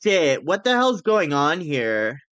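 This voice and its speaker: Lady putting on a rough agitated student dude in a game, gruff, drags his words out. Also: low voice, sing-song, slow pace, fairly bright tone, dry recording, full character voice.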